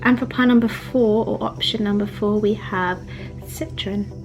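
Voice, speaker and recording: A young woman with a gentle, soothing voice, talking through a card reading in a small room at home. She chats loosely with plenty of fillers, her pitch sitting in an ordinary middle range. Faint soft music plays underneath. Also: fairly even intonation, low-quality recording. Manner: chatty and rambling with fillers, later slow and hushed. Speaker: tarot card reader. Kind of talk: casual pick-a-card reading, then guided meditation.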